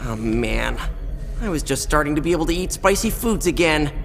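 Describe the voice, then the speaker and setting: A man's voice: fairly high pitch, expressive, normal speed, gravelly, a bit bright. Joking teen hero boy, determined, game music going behind. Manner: determined, wisecracking. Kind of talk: video game voice acting.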